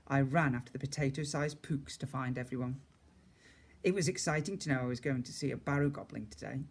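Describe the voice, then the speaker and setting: Man reading a children's storybook expressively. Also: fairly high pitch. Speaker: teacher reading to young children.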